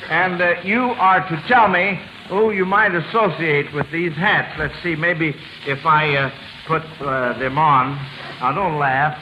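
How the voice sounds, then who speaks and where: A man's voice: on the high side, measured pace. A man hosting an old-time radio quiz in a chuckling, fumbling, schoolmasterly way. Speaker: quiz show host. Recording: noisy, crackling old radio broadcast. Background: steady loud hiss and crackle.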